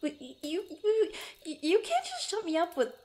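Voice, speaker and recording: A woman playing a proud but tender young female adventurer in an intimate ASMR roleplay, speaking softly and haltingly. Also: very high voice, wildly swooping pitch, full character voice. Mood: flustered. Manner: halting, stammering, soft-spoken with breathy asides.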